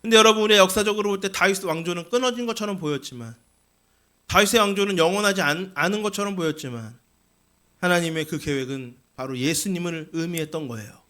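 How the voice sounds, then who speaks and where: A male pastor delivers a Sunday sermon in a church sanctuary, his voice sitting high. He talks earnestly and directly to his listeners, often turning to rhetorical questions. The tone stays bright and forward throughout. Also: fast. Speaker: senior pastor.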